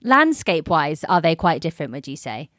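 A woman's voice: sits low, very animated, fairly bright tone, no room sound. Curious woman host, dry sound. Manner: curious, halting questions with fillers. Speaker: podcast host. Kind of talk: casual interview.

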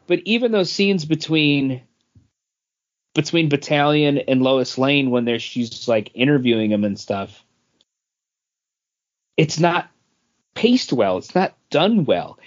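A man's voice: fairly high pitch, lively intonation, slow, long pauses. Casual man critiquing comics, muffled audio. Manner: critical, explains details patiently. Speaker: podcast co-host. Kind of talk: casual comic review chat. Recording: dull lo-fi podcast audio.

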